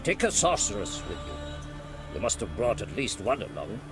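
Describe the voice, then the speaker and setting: Wry, grumpy old druid. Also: male voice, fairly low pitch, sing-song, moderate pace, over music. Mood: cautioning. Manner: wry, sententious. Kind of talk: video game voice acting.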